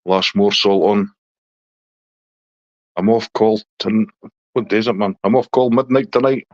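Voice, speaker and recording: A man's voice: on the low side, unhurried, a little mellow, no echo, highs cut off. A middle-aged man from Scotland with a Scottish accent, chatting casually on a live stream and answering viewers' comments.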